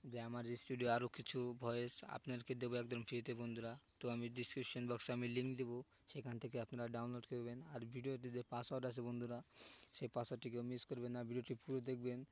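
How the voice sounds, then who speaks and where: A man hosting a video channel, speaking casually and repetitively to viewers as friends. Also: mid-range voice. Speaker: YouTube channel host.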